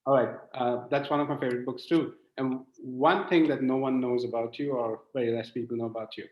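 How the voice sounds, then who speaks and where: A man hosts a live online chat, asking questions in an easy, light-hearted way. His voice sounds roomy and a little muffled through lo-fi call audio, with an ordinary middle pitch. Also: steady tone, fairly quick. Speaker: host. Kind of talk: hosting a live online interview. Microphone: video call.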